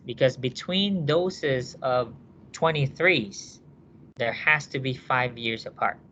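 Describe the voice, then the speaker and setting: A male nursing instructor lectures in a bright, forceful voice. He works through cases by asking the class questions and checking that they follow. The classroom recording sounds dull and lo-fi. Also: mid-range voice, a little hiss or hum.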